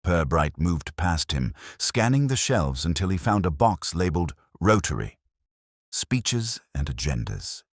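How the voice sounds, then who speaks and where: Very deep, dramatic male storyteller. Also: very animated, a little slow, breathy edge, no room sound, studio quality. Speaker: story narrator. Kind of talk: scripted mystery audiobook narration.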